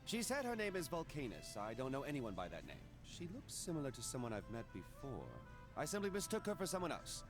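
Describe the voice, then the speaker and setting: A man's voice: medium pitch, huge pitch swings, fairly quick. A man voicing a proud, righteous demon lord in an anime-style video game, solemn and grandiose, over music. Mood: firm, guarded. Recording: loud game music underneath.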